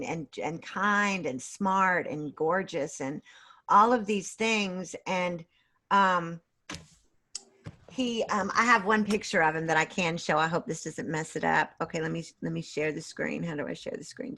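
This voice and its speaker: A middle-aged woman with a slightly low-pitched voice talks casually as a guest in an interview. Her delivery is halting and hesitant, with phrases that break off and start again. She is speaking over a video call. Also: lively intonation, pushed and bright. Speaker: guest author and creative writing teacher.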